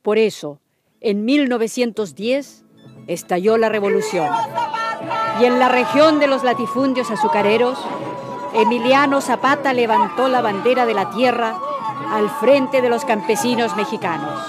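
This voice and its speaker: A woman's voice: on the high side, normal speed, a loud crowd. A woman giving dramatic, solemn scripted narration for a radio play. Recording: clear music behind the voice.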